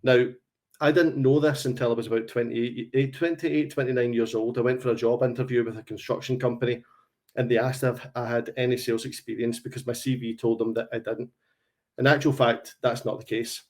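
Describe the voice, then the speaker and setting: A man's voice: medium pitch, flat delivery, brisk, a little mellow. A man of around forty with a Glaswegian Scottish accent, presenting a business webinar on a call in a conversational, anecdotal way. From Glasgow, Scotland. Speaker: webinar presenter, sales consultant.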